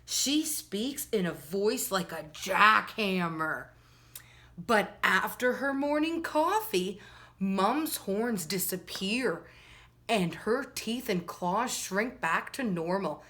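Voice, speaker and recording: Slow-paced woman reading a children's storybook. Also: medium pitch, expressive, a bit bright. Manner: slow, addresses children directly, storyteller phrasing. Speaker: children's storytime host and reader. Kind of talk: expressive picture-book read-aloud to children.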